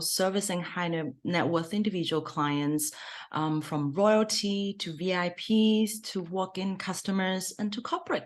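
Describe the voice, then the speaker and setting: A woman hosting an interview, introducing her guest and asking questions, with some fillers and slightly unusual phrasing. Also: lowish voice. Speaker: host, consulting founder. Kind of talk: hosted interview.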